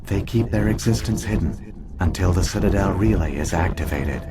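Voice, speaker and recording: A man voicing an ancient alien artificial intelligence in a video game, solemn and weary, telling its history in grave, measured, deliberate phrases. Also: low voice, noisy background, close to an everyday voice. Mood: solemn, resigned. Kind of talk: scripted video game exposition. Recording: loud music behind the voice. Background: game music.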